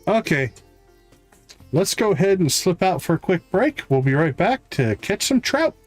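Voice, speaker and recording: A man's voice: high-pitched, sing-song, normal speed, soft background music. A man giving casual live gameplay commentary as a streamer, thinking aloud as he goes. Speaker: game streamer, host.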